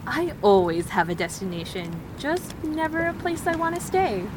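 A woman's voice: sits high, sing-song, moderate pace, a crowd in the background, low-quality recording. A woman acting in an audio drama as a self-contained wandering survivor, matter-of-fact and reassuring. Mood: wistful, open. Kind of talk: naturalistic audio drama dialogue.

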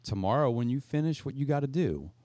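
A man's voice: mid-range voice, animated, quick pace, dark tone, no echo. A middle-aged man co-hosting a podcast, casual and joking, acting out conversations as he retells them. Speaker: podcast co-host.

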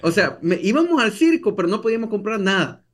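A man's voice: high-pitched, very animated, fast, fairly bright tone. A man with a Salvadoran accent telling his story as a podcast guest, repeating phrases and adding tag questions. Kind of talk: conversational personal storytelling.

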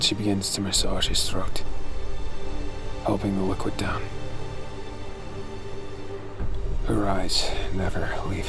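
An actor playing a weary, sardonic space pirate who tells his story in an audio drama. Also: low voice. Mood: hushed, grim. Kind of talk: first-person audio drama narration with acted dialogue. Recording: dramatic music under the voice. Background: music.

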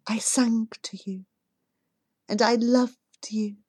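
A woman voicing a gentle ancestral spirit who speaks tenderly in a hushed, half-whispered voice. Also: a little high, fairly even intonation, slow pace, husky, no room sound, studio quality.

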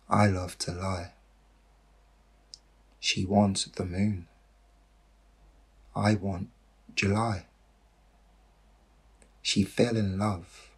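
A man reading his poem aloud in short, measured phrases, with a husky voice. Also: low voice. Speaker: poet reading own poem. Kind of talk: poetry reading.

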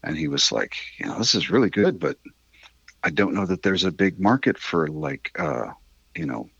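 A middle-aged man with a husky voice, chatting candidly and casually as an interview guest, rambling a little and swearing mildly. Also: low voice, expressive, normal speed, fairly bright tone, dry recording, muffled recording. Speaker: interview guest, independent musician.

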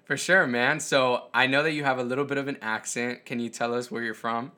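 A man's voice: mid-range voice, steady tone, a little fast, pushed and bright. An American man hosting a podcast, chatty and upbeat, introducing his show and interviewing a guest. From United States. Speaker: podcast host.

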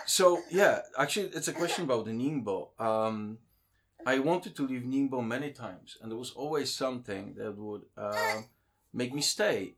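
Man, thirties, casual interview guest, halting and thoughtful. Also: medium pitch, background noise. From Poland. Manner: hesitant, many ums and restarts.

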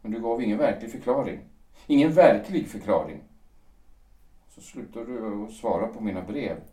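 A man's voice: fairly low pitch, dark tone, close to an everyday voice. An actor playing a man who pleads with a former lover, faltering and restarting as he speaks, recorded at a distance in a roomy space. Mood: pleading. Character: aging male poet pleading over lost love. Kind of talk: audio drama acting.

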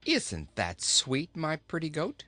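An irrepressibly cheerful storybook king, put on by a man, lifted high and swooping merrily up and down. He teases in a chuckling, singsong way. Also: wildly swooping pitch, slow pace, bright, forceful tone, no room sound, soft background music, exaggerated voice. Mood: jolly, teasing. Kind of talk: storybook character voice.

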